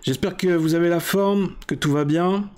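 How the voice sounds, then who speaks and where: A man's voice: high voice, moderate pace, a little mellow, clear, resonant tone. Chatty ironic man in his forties. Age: 40s. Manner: chatty, ironic, lots of filler hesitations. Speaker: tech show host. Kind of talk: casual tech explainer monologue.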